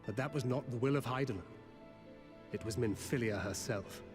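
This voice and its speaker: A man's voice: mid-range voice, normal speed, close to an everyday voice. Sober, thoughtful man played by an actor. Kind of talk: scripted fantasy game dialogue. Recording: music behind the voice.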